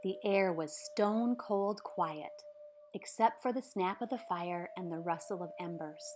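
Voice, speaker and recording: A woman narrating a storybook aloud in a measured, expressive way, with dramatic pauses. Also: on the low side. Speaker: storybook reader. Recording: music behind the voice. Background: background music.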